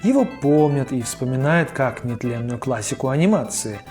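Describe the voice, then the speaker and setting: A man's voice: medium pitch, animated, moderate pace, darkish tone, soft background music. A man narrating an informal scripted video essay as its host, in a colloquial, opinionated manner. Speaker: host of a cartoon retrospective video.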